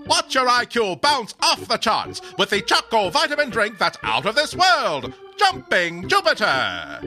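An actor playing a dramatic old-time radio serial announcer and pitchman in a 1940s style. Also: wildly swooping pitch, unhurried, music in the background, heavily acted. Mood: hard sell. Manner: dramatic, breathless salesmanship.

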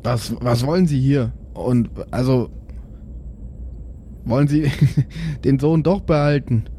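A man's voice: mid-range voice, slow pace, mellow, slight background noise, natural voice. A man playing a mild-mannered starship captain and father, bemused and a bit hesitant, in a tabletop audio play. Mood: dryly bemused.

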